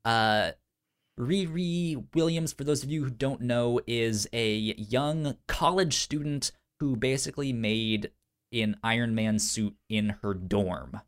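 A man co-hosting a casual pop-culture news chat, stuttering on sounds and syllables, with halting, informal phrasing. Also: mid-range voice. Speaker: podcast co-host.

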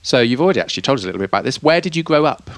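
A man's voice: mid-range voice, sing-song, very fast, no echo. A British man hosting a podcast, chatting in a friendly, encouraging way and asking lots of tag questions. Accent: British English. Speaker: podcast host. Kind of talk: friendly interview chat. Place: indoor room at a youth music week.

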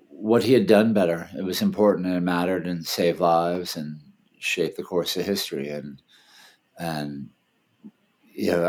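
A man in his fifties from Washington, D.C., a filmmaker, talking casually and hesitantly in a video call interview. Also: sits low. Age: 50s. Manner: relaxed, hesitant, many fillers. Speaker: filmmaker and festival founder, guest.

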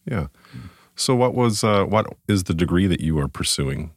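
A man with a remarkably deep voice hosts a conversational interview. He puts his questions to a guest, and the sound is dry with almost no room echo. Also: expressive, normal speed. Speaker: podcast host, interviewer.

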